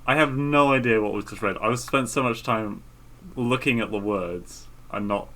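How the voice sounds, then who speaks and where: A man's voice: mid-range voice, normal speed, sharp tone. A man co-hosting a podcast, chatting casually and reading a story aloud. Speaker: podcast co-host.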